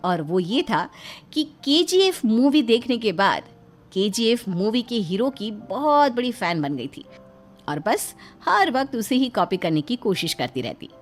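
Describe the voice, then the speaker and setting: High-voiced, animated woman storyteller. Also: a little slow, faint music behind. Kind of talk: scripted narration of a moral story.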